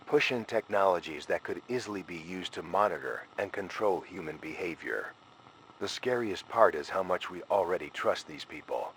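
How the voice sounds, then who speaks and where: A man's voice: sits low, a little hiss or hum, low-quality recording. A man playing a frightened scholar who leaves a grave, ominous final warning in a scripted analog horror recording. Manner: grave, ominous, urgent, deliberate phrasing.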